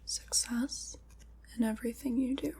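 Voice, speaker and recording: A woman playing a gentle, solemn witch in an ASMR roleplay, whispering in a breathy, soothing, ceremonial way. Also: fairly high pitch, steady tone, normal speed, slight background noise. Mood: gentle, reverent. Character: gentle, solemn witch leading a Samhain ritual.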